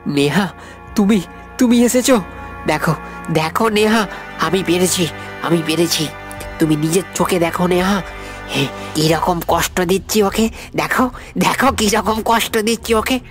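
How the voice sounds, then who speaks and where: A man playing the vengeful ghost of a young lover in an animated horror story, speaking obsessively with repeated phrases. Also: sits high, lightly acted. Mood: pleading, frantic. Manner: menacing, drawn-out threats with repeated phrases. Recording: music behind the voice. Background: clear music.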